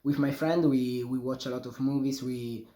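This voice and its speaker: A man's voice: mid-range voice, fairly even intonation, moderate pace, mellow. A twenty-something man speaking English, his mid-range voice bouncing around an echoey room. He is hesitant at times and searches for his words. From Italy. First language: Italian. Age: 20s. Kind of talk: self-recorded reflection.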